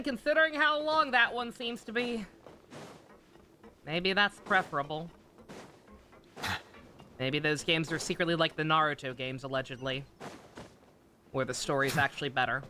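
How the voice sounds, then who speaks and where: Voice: mid-range voice, bright, forceful tone, slight background noise. A streamer giving casual, sarcastic commentary during a game livestream. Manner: sarcastic, wry.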